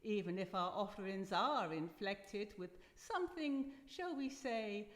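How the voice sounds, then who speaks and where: A woman's voice: mid-range voice, a little slow, lightly acted. Woman playing a theatrical old-time wireless hostess, echoing hall. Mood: teasing mystery. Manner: grandly formal, arch, theatrical. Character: effusive 1930s-style wireless announcer hostess, theatrical and genteel. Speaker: radio show host. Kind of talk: old-time radio presenting before live drama. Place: live performance in a university hall. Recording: echoey hall with long reverb.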